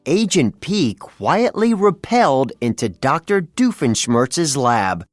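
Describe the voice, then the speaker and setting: A narrator reading a children's story aloud in a scripted, dramatic and deliberate way. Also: medium pitch, very animated, no echo, clean studio sound, soft background music.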